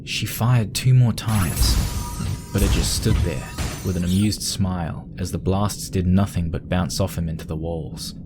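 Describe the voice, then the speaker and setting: A man's voice: deep voice, a little slow, music in the background. A man working as narrator, reading a scripted horror story dramatically.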